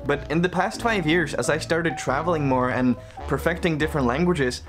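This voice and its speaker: A young Czech man with a slightly raised voice chats animatedly to camera, dropping in self-deprecating jokes. Music plays clearly under him throughout. He sounds close to the camera in a small room. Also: fairly high pitch, moderate pace. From Czech Republic. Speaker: language vlogger. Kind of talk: casual scripted talk to camera. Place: small bedroom in Japan.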